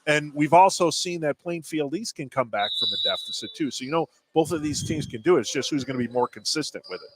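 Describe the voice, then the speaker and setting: A man's voice: on the high side, animated, fast, hardly any pauses, heavy background noise. An American man giving conversational color commentary during a live basketball broadcast.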